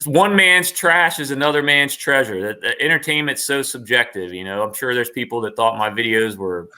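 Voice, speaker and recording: A man's voice: mid-range voice, moderate pace, sharp tone. A man talking casually as an interview guest, enthusiastic and rambling, often repeating his phrases. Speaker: interview guest, online performer.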